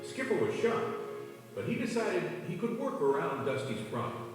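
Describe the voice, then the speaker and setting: A man's voice: a little high, very animated, moderate pace. A man reading scripted narration for a children's read-along storybook. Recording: echoey, distant, long reverb, music behind.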